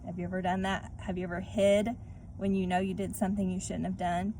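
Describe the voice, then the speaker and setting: A woman reading and discussing a children's story like a teacher, expressively and speaking directly to young listeners, with clear background noise. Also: mid-range voice, flat delivery, a little fast, warm tone. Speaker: read-aloud teacher. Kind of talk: children's story read-aloud with questions to listeners.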